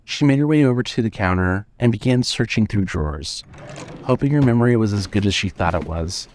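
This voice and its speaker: A man's voice: on the low side, animated, brisk, a little mellow, slight background noise. A man narrating scripted suspense fiction as the storyteller of an audio drama.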